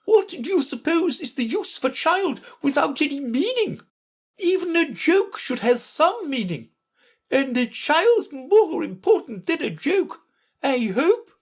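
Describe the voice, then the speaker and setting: A woman voicing a sharp, impatient, bossy queen in a children's storybook, speaking emphatically. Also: sits high, big pitch swings, slow, pitched above the performer's own voice, exaggerated voice. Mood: indignant, severe. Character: Red Queen, sharp bossy imperious scolding royal chess piece.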